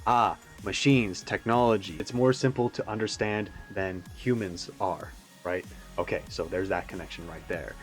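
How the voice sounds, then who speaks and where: A man's voice: low-pitched, fairly even intonation, moderate pace, few pauses. Musing man rambling through casual commentary, music behind. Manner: musing, tentative, thinking aloud. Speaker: YouTube reaction host.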